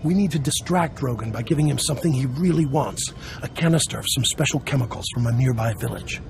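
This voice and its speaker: Gravelly, smooth-talking smuggler boss. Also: male voice, medium pitch, lively intonation, quick pace, darkish tone, slight background noise. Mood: upbeat persuading. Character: jovial, scheming male smuggler boss handing out jobs. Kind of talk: video game voice acting. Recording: faint music behind the voice.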